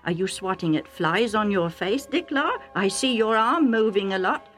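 A woman voicing an old, nearly blind male chieftain who speaks slowly, calmly and firmly. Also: mid-range voice, soft background music, natural voice. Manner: slow, calm, firm.

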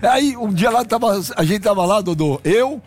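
This is a Brazilian man hosting sports banter in a high voice that leaps around a lot. He is boisterous and teasing, full of slang, with faint music running behind him. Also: expressive, normal speed. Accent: Brazilian Portuguese. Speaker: host of a football talk show.

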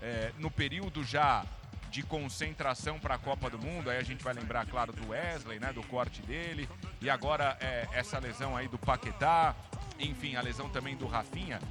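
A Brazilian man hosting a live sports broadcast, emphatic, with drawn-out hand-offs. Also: medium pitch. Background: music bed.

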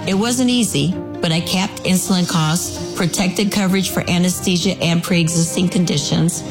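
Low-voiced female politician in campaign ad. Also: normal speed, music in the background. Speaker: politician seeking re-election. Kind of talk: scripted campaign statement.

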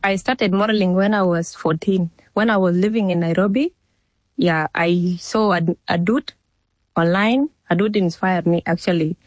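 A woman's voice: on the low side, normal speed, no echo. Young South Sudanese woman, dry sound. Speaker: fashion model, interviewee. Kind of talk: candid interview answers.

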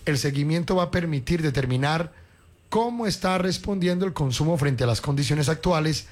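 A man's voice: on the high side, lively intonation, normal speed, darkish tone. A man in his fifties with a Paisa Colombian accent hosts radio news, moving between reading stories and colloquial chat. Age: 50s. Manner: conversational, colloquial with diminutives. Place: radio studio. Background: music under the voice.

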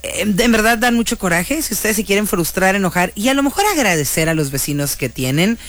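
A Mexican woman chats casually to her audience as she recounts stories. Her voice sits at a middling pitch, and her manner is animated and slangy, slipping into quoted dialogue as she goes. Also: brisk. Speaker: storyteller recounting true-crime cases. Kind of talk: casual chatty storytelling to an audience.

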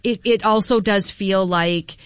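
A middle-aged Canadian woman hosting a radio show, chatting casually and telling stories in an animated way. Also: medium pitch, measured pace, no room sound, highs cut off.

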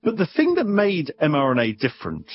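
A man speaking as an expert guest, explaining things conversationally in an animated, wry way, sometimes doubling back on his words. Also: on the high side, a little fast, breathy edge, dull audio.

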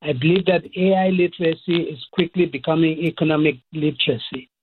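A man with a Cameroonian accent explaining things as an expert interview guest, deliberately, listing points in short phrases. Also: a little high, steady tone, slight background noise. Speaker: expert guest, professor and AI researcher. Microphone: phone call. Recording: muffled, thin phone line.

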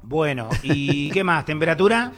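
A man's voice: fairly high pitch, lively intonation, a little slow, fairly bright tone. A man with an Argentine accent hosting a live radio show, chatting and putting questions to others. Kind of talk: live radio chat with interviews and sponsor reads.

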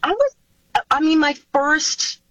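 A woman, a singer taking part in an interview over a video call, answering casually with animated pitch swoops and many restarts. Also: takes it slowly, no echo. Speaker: singer, guest. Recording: dull, dry video call audio.

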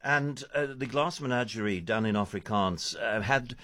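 A man's voice: medium pitch, moderate pace, sharp tone, no echo, clean studio sound. A man hosting an arts interview, talking in a rambling way with restarts and fillers. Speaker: radio arts host.

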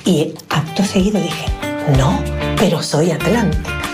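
A woman's voice: on the low side, a little slow, over loud music. A woman from the Canary Islands, telling a sea story as a caller to a radio show.

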